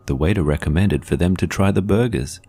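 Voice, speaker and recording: A man with a very low voice tells a horror tale as a scripted narration. His delivery is measured and deliberate, with faint music behind him. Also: mellow, breathy edge.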